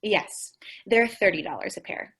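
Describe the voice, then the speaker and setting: A woman's voice: mid-range voice, unhurried, fairly bright tone, slightly rough. A woman playing a sweet, naive, scatterbrained young shop clerk in an old-time radio comedy, earnest and guileless in her delivery. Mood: naive, cheerful. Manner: earnest, guileless, literal-minded.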